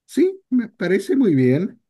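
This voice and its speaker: An older man hosting a talk show over a video call, speaking in a measured, reflective way. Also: high voice, huge pitch swings, mellow. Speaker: radio show host. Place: home office.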